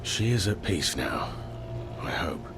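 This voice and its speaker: An actor playing a stern, steadfast wandering hero in a video game, with measured, terse delivery. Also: male voice, low-pitched, sing-song, over loud music, heavily acted. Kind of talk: dramatic video game acting.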